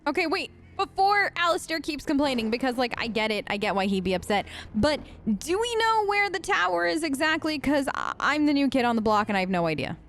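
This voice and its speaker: A woman's voice: high-pitched, sing-song, a little fast, few pauses, a bit bright, slight background noise. A young woman streaming a role-play playthrough, chatty and playful, answering the game characters in her own voice. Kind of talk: casual role-play gameplay commentary.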